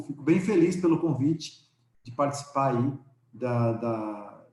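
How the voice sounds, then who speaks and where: A man's voice: mid-range voice, a little mellow, echoey room, rough recording. A man speaking as a guest law professor in a live academic talk, friendly and joking, with hesitant fillers. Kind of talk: casual academic chat. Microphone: video call.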